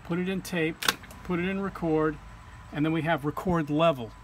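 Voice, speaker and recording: Higher-pitched man giving a hands-on demo, noisy. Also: steady tone, moderate pace. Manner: step-by-step, plain-spoken. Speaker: technician demonstrating equipment calibration. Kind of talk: informal hands-on tutorial. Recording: clear background hum or ambient noise.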